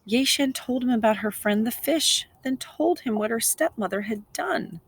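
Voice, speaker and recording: A woman's voice: fairly high pitch, lively intonation, moderate pace, husky, no room sound. A woman reading aloud to young children as a teacher, explaining simply and repeating key points. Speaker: second grade teacher.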